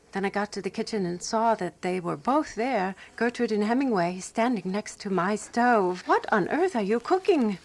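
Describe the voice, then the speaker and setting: A woman playing a fussy, resolute amateur sleuth who narrates her own mystery in a radio drama, prim and measured. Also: mid-range voice. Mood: indignant.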